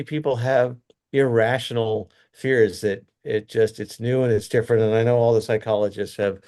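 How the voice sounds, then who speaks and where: A man's voice: mid-range voice, a little mellow. A middle-aged man, a company executive, giving earnest, rambling interview answers full of restarts and fillers.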